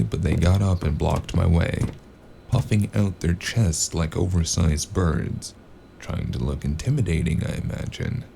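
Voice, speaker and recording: A man playing a weary, sardonic hard-boiled investigator, narrating a noir audio drama in a gravelly, deadpan way. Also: deep voice, a little hiss or hum, full character voice. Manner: deadpan, dry, brooding.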